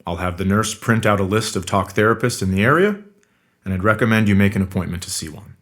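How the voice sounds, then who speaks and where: Low-pitched, matter-of-fact male doctor. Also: animated, quick pace, fairly bright tone. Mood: clinical. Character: businesslike male doctor questioning a patient. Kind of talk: naturalistic scripted audio drama.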